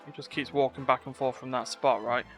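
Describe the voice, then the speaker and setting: A man's voice: mid-range voice, steady tone, brisk. Man muttering over game music. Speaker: video game streamer. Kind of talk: casual playthrough commentary.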